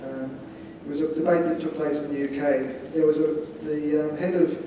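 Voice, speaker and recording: Mellow-voiced man in a reverberant hall. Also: fairly high pitch, fairly even intonation, normal speed. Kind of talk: considered, discursive panel answer. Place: large indoor conference hall. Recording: echoey hall, distant mic, faint noise.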